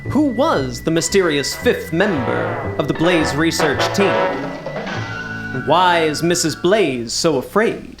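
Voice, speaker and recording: A man's voice: a little high, big pitch swings, slow pace, music in the background, full character voice. A man playing a dramatic 1940s radio serial announcer, theatrical and suspenseful. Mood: suspenseful, grand.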